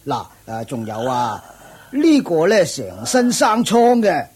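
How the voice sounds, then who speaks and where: An actor playing a proud older ascetic master in a radio drama, with a husky, raspy voice, a drawling self-satisfied manner. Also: male voice, on the high side, wildly swooping pitch, takes it slowly, a little mellow, exaggerated voice. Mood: showing off. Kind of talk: Cantonese radio drama acting.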